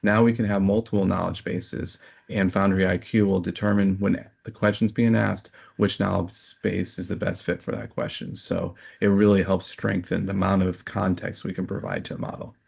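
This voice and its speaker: A man explaining technical material as an interview guest, in a measured, hesitant manner. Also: deep voice, flat delivery, gravelly, a little mellow, slightly rough.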